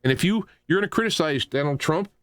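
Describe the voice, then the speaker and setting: A middle-aged or older American man hosting a radio talk show, delivering an unscripted political rant in an animated, argumentative, emphatic way. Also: on the high side, fast talker, no room sound, studio quality.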